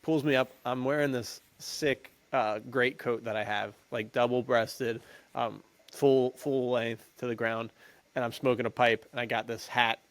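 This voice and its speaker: A man's voice: medium pitch, steady tone, measured pace, no room sound. A young American man co-hosting a podcast, telling stories in a slangy, jokey, casual way. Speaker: podcast co-host. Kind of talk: casual chat.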